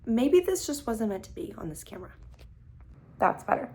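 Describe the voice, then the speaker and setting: A young woman with a slightly hoarse voice after being sick, chatting casually and rambling with lots of fillers in a beauty vlog filmed on her phone at home. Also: a little high, big pitch swings, normal speed, darkish tone. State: hoarse, recovering from illness. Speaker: beauty vlogger. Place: at home, sitting on the floor. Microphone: phone camera.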